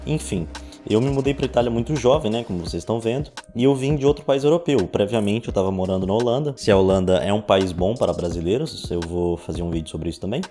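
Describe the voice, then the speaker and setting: A man's voice: fairly low pitch, fast, dark tone. A man in his twenties with a Brazilian Portuguese accent, telling his experiences in a casual, conversational vlog style. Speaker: vlogger. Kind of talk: casual storytelling to camera. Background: background music.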